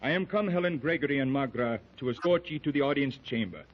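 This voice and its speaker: An actor playing a solemn servant of an ancient kingdom in a 1930s radio serial, speaking in formal, archaic, fatalistic phrases. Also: male voice, mid-range voice, fast, natural voice. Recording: dull old radio recording.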